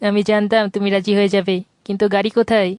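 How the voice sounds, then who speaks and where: A woman's voice: medium pitch, little pitch movement, racing, a little mellow, clear, resonant tone, natural voice. A woman voicing a timid, whiny schoolboy who pleads and complains in a cartoon dub. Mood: eager, doubtful.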